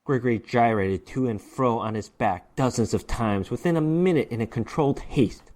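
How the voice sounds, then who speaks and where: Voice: mid-range voice, normal speed, darkish tone. A narrator giving a dramatic scripted reading of a short story.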